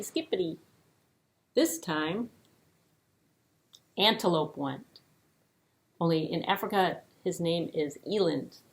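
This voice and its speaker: A woman storyteller narrating a children's puppet show expressively, with dramatic pauses and playful delivery. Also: fairly low pitch, rough recording.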